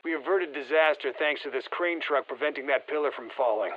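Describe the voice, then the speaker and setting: An actor playing a seasoned, wry special forces operative in a video game, speaking matter-of-factly through a radio filter. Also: male voice, on the high side, a little fast, highs cut off. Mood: wry, reflective. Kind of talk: scripted game voice lines, field commentary.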